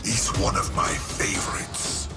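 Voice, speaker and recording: A man's voice: unhurried, close to an everyday voice. A man playing an arrogant, cruel strongman crime boss in a video game, pompous and gloating. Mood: gloating menace. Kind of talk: video game cutscene acting. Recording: loud music under the voice. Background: dramatic music.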